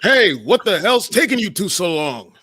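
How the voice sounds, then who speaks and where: A man's voice: high-pitched, very animated, moderate pace, bright, forceful tone, breathy edge, no room sound, heavily acted. A man playing a suave, irritable smuggler and ex-hero in a comedic script read. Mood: irritated, dry. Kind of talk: comedic live table read of a film script.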